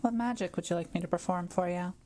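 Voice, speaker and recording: Woman acting a gentle, mellow-voiced forest witch. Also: lowish voice, fast talker, natural voice. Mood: curious, coaxing. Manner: gentle, hesitant, softly teasing. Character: kind, shy, quiet forest witch. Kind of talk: intimate audio roleplay, speaking to the listener.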